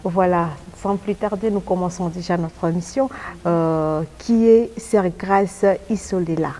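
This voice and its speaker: A woman's voice: on the low side, steady tone, unhurried, dark tone, slight background noise. A woman, speaking as a courteous, formal TV host in a religious interview.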